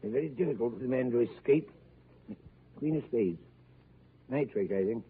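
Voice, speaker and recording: A man's voice: mid-range voice, animated, normal speed, natural voice. Matter-of-fact club member for a vintage radio drama, played by a man, thin, lo-fi broadcast with faint hiss. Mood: assured. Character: confident English club gentleman at cards. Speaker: actor in a radio play. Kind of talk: 1930s radio acting.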